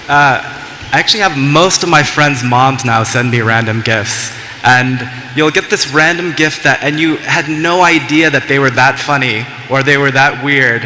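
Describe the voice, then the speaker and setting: A man speaking on a conference panel, halting and restarting often as he answers. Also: mid-range voice, sharp tone. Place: large conference hall. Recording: echoey hall, faint noise.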